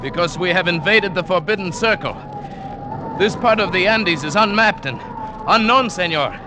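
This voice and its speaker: A man's voice: sits high, moderate pace, background noise, close to an everyday voice. A man playing a solemn mountain guide. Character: grave, superstitious adult male mountain guide. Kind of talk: 1940s radio acting. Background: music.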